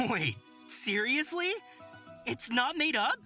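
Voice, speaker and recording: Playful, curious young fantasy storyteller. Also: male voice, very high-pitched, big pitch swings, takes it slowly, bright, forceful tone, soft background music, exaggerated voice. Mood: astonished. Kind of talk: visual novel character acting.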